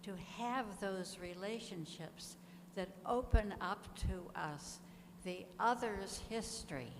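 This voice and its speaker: A woman offering reflections in a group discussion, measured and deliberate, pausing often between phrases. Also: mid-range voice. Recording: echoey hall with clear background noise.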